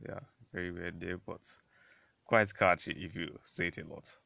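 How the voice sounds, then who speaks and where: A man co-hosting a casual podcast who has a cold and trades dry, deadpan banter with his co-host. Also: low-pitched, moderate pace, gravelly, no echo. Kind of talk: casual chat.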